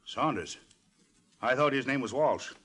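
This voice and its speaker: A man's voice: mid-range voice, animated, unhurried, fairly bright tone, breathy edge. An actor playing a brash, slangy tough guy in an old radio crime drama, brusque and on the make. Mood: suspicious. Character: brash, flirtatious, suspicious tough guy mark. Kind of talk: 1940s radio crime acting. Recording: old radio recording, crowd murmur behind.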